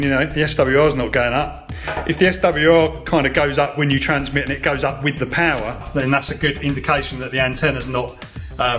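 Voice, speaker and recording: A man talks casually as a hobbyist video host, in a mid-range voice. His delivery is relaxed and matter-of-fact, like an informal demonstration. Faint music plays behind him. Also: medium pitch.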